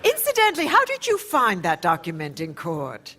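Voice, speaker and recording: A woman's voice: fairly low pitch, huge pitch swings, moderate pace, background noise. An actress playing a gracious, teasing woman newspaper publisher in a radio drama. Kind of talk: radio play acting.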